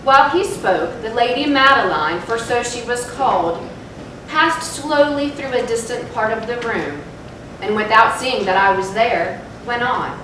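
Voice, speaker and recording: A woman giving a measured, solemn dramatic narration of a gothic story in a stage reading. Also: on the high side, animated. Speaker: narrator in a readers' theatre. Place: live theatre stage, recorded from afar. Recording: very echoey, distant, noisy hall.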